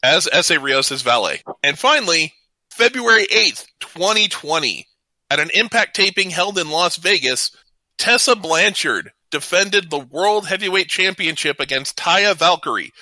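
Bright, forceful man hosting a talk show. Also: on the high side, lively intonation, unhurried, no echo, low-quality recording. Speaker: radio show co-host. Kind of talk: casual talk show presenting, reading out trivia.

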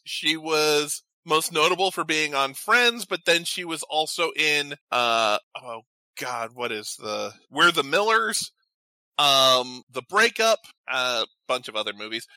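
Male radio host, casual trivia delivery. Very bright, punchy, slightly high voice, dry sound. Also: no room sound, low-quality recording. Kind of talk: casual chat hosting an entertainment quiz.

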